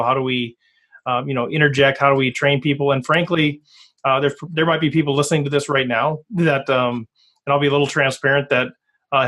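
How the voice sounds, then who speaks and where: A man's voice: medium pitch, fairly bright tone. A man hosting a podcast from Minnesota, speaking earnestly and candidly in a loose conversational way. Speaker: podcast host.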